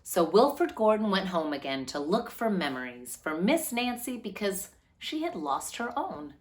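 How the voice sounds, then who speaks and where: A woman's voice: mid-range voice, very animated, moderate pace. A woman hosting a read-aloud for children, narrating expressively with a lilting delivery.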